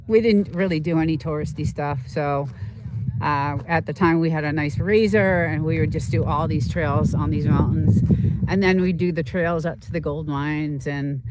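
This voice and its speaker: A woman's voice: low-pitched, a little mellow, a little hiss or hum, low-quality recording. A woman host chatting casually and informally on a livestream, rambling and often repeating herself as she talks to viewers.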